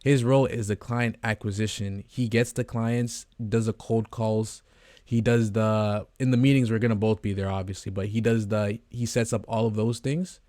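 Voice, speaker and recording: A man's voice: fairly low pitch, fairly even intonation, moderate pace, darkish tone, dry recording, clean studio sound. An eighteen-year-old man from Canada talking casually to camera in a rambling, earnest way, with many fillers and restarts. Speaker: vlogger, agency co-founder. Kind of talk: casual talking-head vlog.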